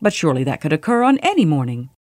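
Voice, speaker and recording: A woman's voice: deep voice, very animated, rapid-fire, a little mellow, dry recording, clean studio sound. A woman voicing an imperious, easily exasperated emperor in a storybook tale, with a commanding, declamatory manner. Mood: shrugging.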